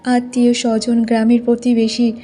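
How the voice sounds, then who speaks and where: A woman's voice: fairly high pitch, monotone, moderate pace, warm tone, natural voice. A woman playing a meek, sorrowful village wife in a cartoon, speaking in a plaintive, earnest way. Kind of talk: Bengali moral cartoon voice acting.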